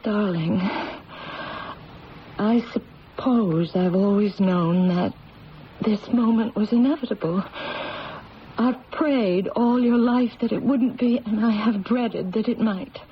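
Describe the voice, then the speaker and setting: A woman acting a loving, anguished middle-aged mother in a radio drama, halting, tender and emotional. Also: mid-range voice, close to an everyday voice. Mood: resigned, tender. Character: loving, anguished adoptive mother of a grown daughter. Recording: muffled, noisy old radio broadcast.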